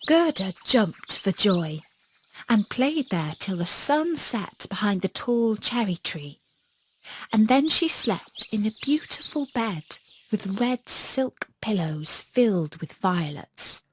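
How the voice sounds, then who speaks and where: A woman narrating a children's fairy tale as an audiobook, in an expressive storytelling manner. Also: mid-range voice, takes it slowly, a little hiss or hum.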